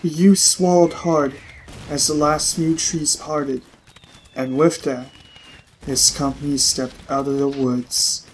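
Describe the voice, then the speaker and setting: A man reading fiction aloud as a narrator, in an expressive, dramatic storytelling style. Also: a little high, slow pace, a little hiss or hum, low-quality recording. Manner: expressive, literary storytelling delivery.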